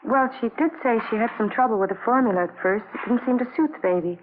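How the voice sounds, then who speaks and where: An actress in a vintage radio drama playing a worried, kindly witness with an earnest, tender manner. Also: female voice, a little high, quick pace, lightly acted. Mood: anxious. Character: worried, kindly woman witness fretting over abandoned baby. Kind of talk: 1950s radio drama acting. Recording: tinny, noisy old radio recording.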